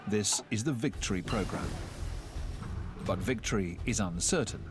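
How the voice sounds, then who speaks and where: A man narrating a historical documentary in a measured, solemn scripted delivery, recorded as a studio voice-over. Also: on the low side, expressive. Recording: narration under loud music score.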